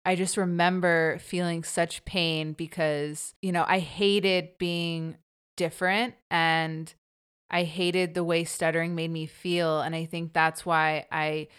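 A woman who stutters, hosting a personal interview with her mother in a reflective, halting way. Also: fairly low pitch, level tone, fairly bright tone, clean tone. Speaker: podcast host.

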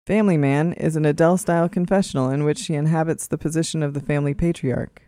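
A narrator reading a scripted album review in a measured, written style. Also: medium pitch, fairly even intonation, warm tone, no echo, studio quality.

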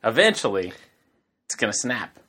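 A man's voice: lowish voice, very animated, moderate pace, sharp tone. A man in his thirties co-hosting a casual comedic podcast, wry and defensive when he is ribbed. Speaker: podcast co-host.

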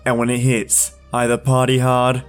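A man's voice: medium pitch, monotone, normal speed. Male podcast host talking over music. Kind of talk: casual podcast sign-off to listeners.

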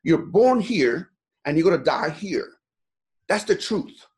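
A man's voice: a little high, very animated, slow, darkish tone. Guy in his 40s from iran, blunt and sweary, keeps saying you know, pushy motivational talk. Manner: blunt, profane, fired-up, repeats "you know" a lot. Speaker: guest, gym owner.

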